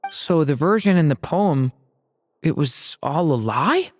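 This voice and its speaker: An actor voicing a quiet young adventurer in a video game, who asks brief, earnest questions. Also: medium pitch, animated, takes it slowly.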